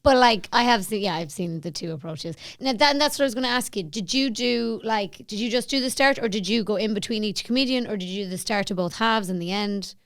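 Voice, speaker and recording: A woman's voice: mid-range voice, fairly quick, few pauses, no room sound. An Irish woman hosting a podcast, chatting casually with her guest in an interview. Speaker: podcast host.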